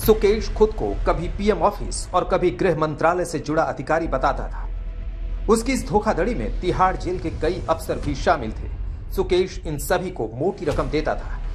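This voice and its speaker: A news narrator reading a scripted report. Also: medium pitch. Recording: music bed under voice. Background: background music.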